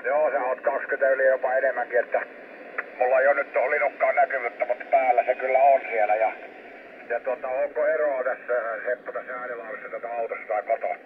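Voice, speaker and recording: Male radio amateur, mid-range voice, relaxed-format call-in chat, narrow shortwave sound with light noise. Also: medium pitch. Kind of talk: casual ham radio contact. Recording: thin shortwave radio transmission with static. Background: radio static.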